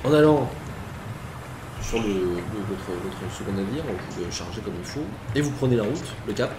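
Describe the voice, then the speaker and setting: A man's voice: lowish voice, lively intonation, normal speed, crowd noise. A man narrating as game master of a tabletop game, hesitant, with many fillers and restarts. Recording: echoey room with clear noise.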